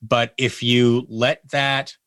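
A man's voice: mid-range voice, flat delivery, slow pace, fairly bright tone, no room sound, studio quality. A man speaking as an interview guest, conversational, earnest and reflective as he explains things. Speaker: interview guest, audio drama producer.

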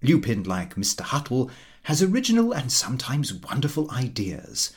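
A man reading comic fiction aloud in the first person, with measured, expressive storytelling. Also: medium pitch. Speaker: audiobook reader.

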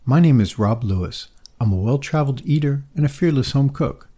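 A man hosting a food podcast, introducing his guest and asking earnest, slightly halting questions. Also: medium pitch, animated, warm tone. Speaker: podcast host. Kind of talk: podcast interview.

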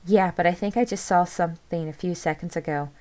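A woman's voice: fairly low pitch, a little fast, darkish tone, pitched above the performer's own voice, natural voice. A woman voicing a nervous, reluctant young woman in a spooky story, anxious and hesitant. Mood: uneasy.

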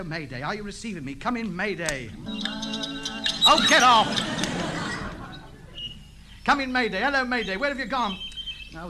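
A pompous English radio ham, speaks in a very high, hurried voice. He is hapless, flustered and quick to take offence. It sounds like a noisy old sitcom recording. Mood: officious, then exasperated. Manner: pompous, flustered, easily affronted. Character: pompous, indignant, hapless amateur radio operator. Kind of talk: broadcast sitcom acting.

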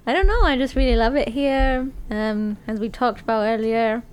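A woman's voice: on the high side, normal speed, smooth. A young woman from Romania with a Romanian accent, a guest chatting casually, answering in a dry, teasing way. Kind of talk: casual chat. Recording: faint background noise.

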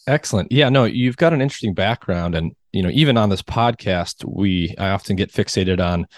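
Man, very low voice, podcast host, engaged questions, dry sound. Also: brisk, no room sound. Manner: engaged, affirming, framing questions. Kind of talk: interview podcast hosting.

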